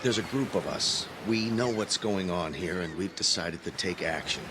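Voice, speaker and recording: A man's voice: low-pitched, normal speed, background noise, lightly acted. A man acting in a radio drama as an earnest, awkward environmentalist graduate student with a hesitant, explanatory way of talking. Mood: earnest, confiding.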